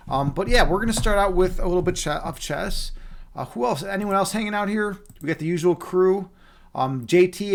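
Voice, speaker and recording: Chicago streamer, young guy, slightly high voice, easygoing chat, lots of man and dude. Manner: laid-back, chatty, frequent "man" and "dude", many restarts. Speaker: streamer and chess instructor. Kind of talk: casual live-stream chat with viewers.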